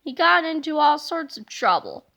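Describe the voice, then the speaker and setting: A woman reads a children's book aloud with animated, playful narration. Her voice sits high and sounds bright and lively. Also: normal speed, vocal fry. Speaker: storybook reader.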